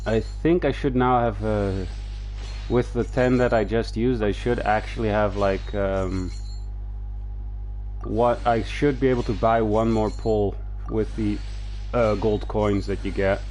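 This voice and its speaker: A man doing casual live gameplay commentary as a streamer, talking half to himself in a halting way. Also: fairly low pitch, fairly even intonation, a little mellow. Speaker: game streamer. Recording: game music behind the voice.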